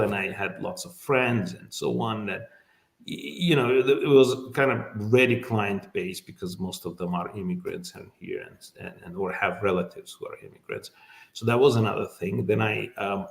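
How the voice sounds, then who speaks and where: A middle-aged man from Azerbaijan, whose first language is Azerbaijani, talks slowly through his memories, with lots of "um" and self-corrections. His voice sits fairly low. The sound carries a noticeable echo from the room. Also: vocal fry. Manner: thoughtful, many fillers and restarts. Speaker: immigration lawyer, guest. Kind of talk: casual interview.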